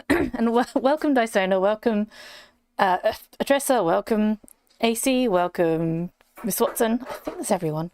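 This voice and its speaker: A woman's voice: mid-range voice, unhurried, a little mellow, slight background noise. A woman streaming a game, chatting casually with her viewers and laughing often, her voice worn by a slight cold. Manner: giggly, chatty, talks to chat by name. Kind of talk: casual live-stream chat.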